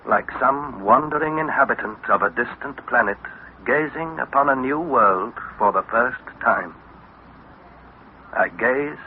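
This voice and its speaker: An actor playing an awestruck young man recounting his expedition in a theatrical, deliberate radio-drama style with dramatic pauses. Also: mid-range voice, a little hiss or hum, natural voice. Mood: somber, uneasy. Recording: phone-like vintage radio recording with music behind.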